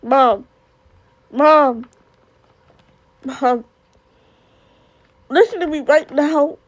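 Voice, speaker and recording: A distraught young son, performed by a woman in an emotional family drama. The voice is high and urgent, pleading with his mother in raw, insistent phrases. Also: extremely slow. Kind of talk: dramatic solo monologue acting.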